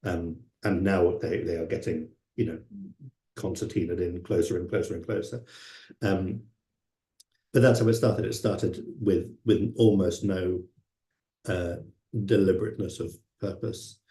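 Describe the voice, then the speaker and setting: Deep, mellow man in an echoey room. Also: fairly even intonation, measured pace. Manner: hesitant, many fillers and restarts. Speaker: panelist translator. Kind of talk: reflective panel talk.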